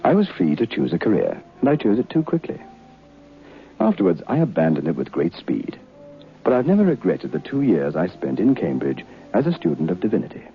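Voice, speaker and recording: On a dull old radio recording with faint music, a reflective gentleman tells his story in a deep, widely swooping voice. His manner is measured and literary, as in a radio drama. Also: huge pitch swings, full character voice. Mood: wistful, foreboding. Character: reflective educated gentleman recalling a strange episode of youth. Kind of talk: old radio drama first-person narration.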